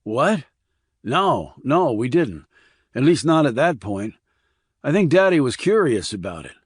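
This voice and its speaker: A man voicing a weary, rough-edged small-town man in an audiobook, talking in clipped, colloquial, blunt phrases. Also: medium pitch, sing-song, a little slow, no room sound, studio quality. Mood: sleepy, subdued.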